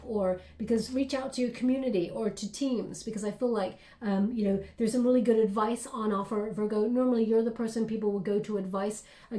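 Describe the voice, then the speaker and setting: A woman's voice: a little high, warm tone, reverberant. A woman giving a tarot reading in an encouraging, reassuring way, with frequent fillers. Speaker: tarot reader.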